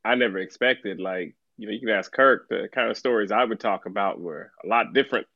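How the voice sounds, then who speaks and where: Middle-aged male writer from Trinidad, lowish voice, dry and slightly dull recording, easygoing storyteller. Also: brisk, dry recording. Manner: relaxed storytelling, laughs often. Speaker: author guest. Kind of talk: casual podcast conversation.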